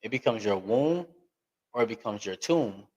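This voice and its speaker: A man giving an interview on a podcast, talking earnestly and emphatically, speeding up and slowing down to stress points. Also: on the low side, animated, a little mellow, breathy edge, lo-fi sound. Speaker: podcast guest. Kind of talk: candid interview talk.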